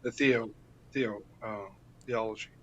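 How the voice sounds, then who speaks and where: A man's voice: fairly low pitch, fairly even intonation, unhurried, rough recording. An Icelandic man, a native Icelandic speaker, talking as a podcast guest in a casual interview, hesitant, with many fillers and restarts.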